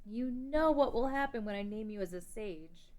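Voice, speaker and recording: Fantasy princess , female reader: high pitch, very animated melody, earnest, conflicted. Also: moderate pace, close to an everyday voice. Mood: resolute. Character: young princess turned sage, earnest and conflicted. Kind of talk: dramatic table read of a fantasy game story.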